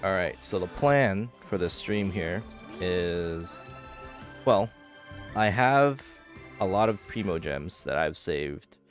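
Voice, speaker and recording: A man's voice: low voice, lively intonation, slow, faint music behind. A man talking casually as he streams a video game, commenting live on what he is doing.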